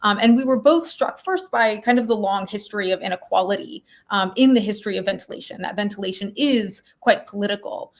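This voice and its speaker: A woman's voice: mid-range voice, brisk, hardly any pauses. Female academic guest, conversational and thoughtful, mid-range voice, explaining her research. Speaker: guest, historian.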